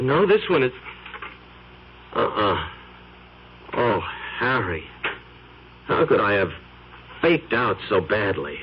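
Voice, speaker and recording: A man's voice: lowish voice, sing-song, slow pace, pauses often. An actor playing an obsessed advertising man in a 1970s radio drama, talking urgently and pleading. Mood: desperate. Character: obsessed adman chasing an impossible photo. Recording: dull old radio broadcast with faint hiss.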